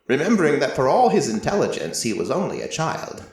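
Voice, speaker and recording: A man narrating a first-person story in a measured, scripted storytelling style. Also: medium pitch, wildly swooping pitch, a little mellow. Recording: roomy, echoing voice recording.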